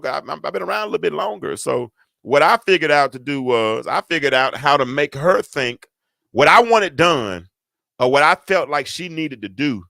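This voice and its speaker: A middle-aged man hosting a talk show, telling a parenting story with a deep, stentorious voice and emphatic repetition. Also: vocal fry, sharp tone, no room sound, studio quality. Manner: emphatic, repeats words for effect, folksy asides. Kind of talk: casual storytelling to an audience.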